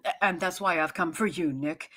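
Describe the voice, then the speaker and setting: A woman's voice: on the low side, normal speed, a bit bright. A woman playing a dramatic, fretful society mother in a 1930s-style radio mystery, with a gushing, coaxing manner. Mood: wheedling.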